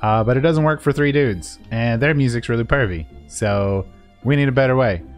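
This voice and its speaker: A man's voice: mid-range voice, normal speed. A man giving a casual, jokey walkthrough over gameplay, playful and informal in the way he talks. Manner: playful, informal, voices paraphrased dialogue and silly sound words. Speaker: gaming video creator giving a quest guide. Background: music.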